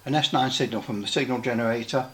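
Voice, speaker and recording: A man giving a casual demonstration as a hobbyist, thinking aloud haltingly and adding tag questions. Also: medium pitch. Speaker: radio repair hobbyist demonstrating equipment.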